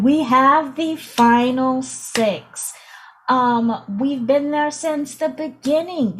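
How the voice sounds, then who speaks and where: A woman's voice: sits high, low-quality recording. A woman voicing an upbeat female presenter who interviews a new pop group in a tabletop game, emphatic and repeating her phrases. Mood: upbeat, announcing.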